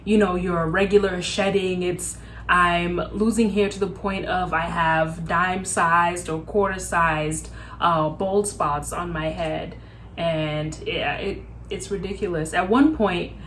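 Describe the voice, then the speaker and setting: A woman's voice: lowish voice, steady tone, measured pace, a bit bright, a little hiss or hum. A woman from Zimbabwe with a Texan accent, speaking openly and earnestly as a guest in a casual chat. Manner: open, earnest, reflective. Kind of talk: casual conversation.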